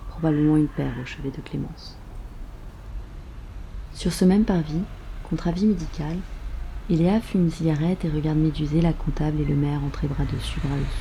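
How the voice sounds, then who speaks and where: A woman narrating a scripted audio drama in a deliberate, wry storytelling manner. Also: sits low, creaky, mellow, a little hiss or hum.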